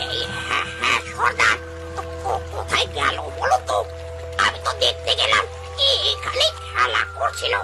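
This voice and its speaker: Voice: medium pitch, huge pitch swings, normal speed, loud music behind the voice. A performer voicing a nervous, fawning crow scout in a cartoon, stammering, pleading and eager to please. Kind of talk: cartoon story dialogue. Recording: loud background noise.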